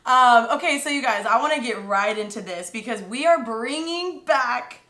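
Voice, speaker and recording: A bubbly woman hosts her podcast in casual chat, talking in slang and laughing often. Her voice is bright and forward. The room around her sounds echoey. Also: on the high side, lively intonation, normal speed. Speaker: podcast host.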